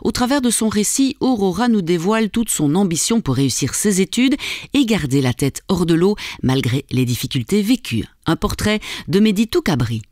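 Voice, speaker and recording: A woman narrating a documentary podcast in a measured, scripted way. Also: medium pitch, animated, a little mellow, no room sound, studio quality.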